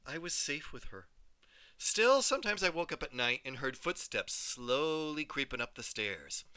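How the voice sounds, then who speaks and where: A man reads a children's story aloud, the way a teacher shares a book with a class. His storytelling is expressive and dramatic, with a lively, swooping pitch. His voice is bright and a little high for a man. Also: normal speed, clear, resonant tone. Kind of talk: storybook read-aloud narration.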